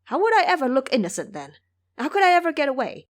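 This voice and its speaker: A woman's voice: high-pitched, very animated, fast, voice pushed up. A woman playing a pious male Buddhist monk, an earnest master who admonishes and preaches in an audio drama. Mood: outraged.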